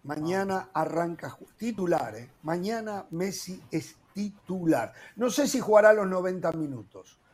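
Sports talk host guy, kinda husky, a bit high, lots of "eh", keeps the debate going. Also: measured pace, few pauses. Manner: animated, leans on "eh" fillers. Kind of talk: heated sports panel debate.

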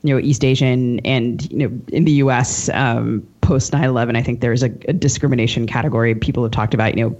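Mid-pitched man interviewing, slightly dull audio. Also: fairly even intonation, a little fast, hardly any pauses, a little mellow. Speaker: podcast co-host interviewer. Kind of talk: conversational interview questions.

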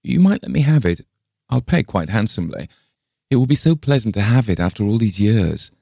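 Man playing a brisk, high-voiced woman visitor. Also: sing-song, vocal fry, mellow, husky, no room sound, studio quality, exaggerated voice. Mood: insistent. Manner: brisk, coaxing, matter-of-fact. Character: brisk, practical, nosy woman visitor, cheerful and insistent. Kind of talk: dramatised storybook reading.

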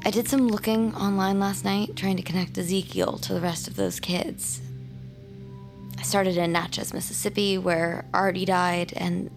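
A woman's voice: medium pitch, steady tone, normal speed, creaky, over music, natural voice. An actress playing a practical, guarded woman helping investigate the occult in an audio drama. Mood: uneasy, confiding.

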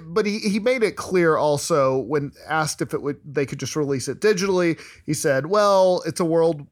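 A man hosting a casual podcast, going over news items in a relaxed way. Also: a little high, moderate pace. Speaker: podcast host.

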